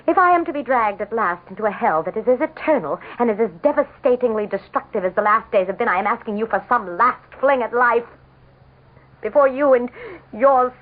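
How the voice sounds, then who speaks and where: An actress playing a vain, desperate, scheming politician's wife in a melodramatic radio drama, with theatrical, anguished and imperious delivery. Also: female voice, fairly high pitch, animated, a little fast. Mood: desperate pleading. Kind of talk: melodramatic radio drama acting and first-person narration. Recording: muffled lo-fi vintage radio broadcast.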